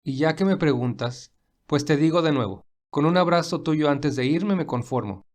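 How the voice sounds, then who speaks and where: Man playing Albert, a gentle devoted gentleman. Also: on the high side, moderate pace, lightly acted. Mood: tender, teasing. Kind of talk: audio storybook character dialogue.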